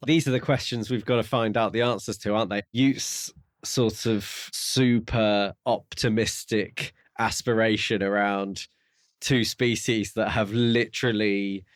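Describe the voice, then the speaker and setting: A man with a fairly low voice talks casually as a podcast co-host. He muses as he goes, feeling his way through ideas. The sound is dry, with very little room in it. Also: fairly even intonation, a little slow, no room sound. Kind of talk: casual book discussion.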